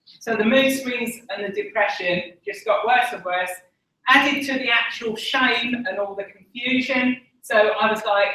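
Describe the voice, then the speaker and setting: A woman's voice: a little high, measured pace, bright, forceful tone. A woman with an Essex accent giving a candid personal testimony at a conference podium, earnest and self-deprecating, with frequent ums. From Essex, England. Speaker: conference speaker. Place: large conference room. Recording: echoey hall from far off.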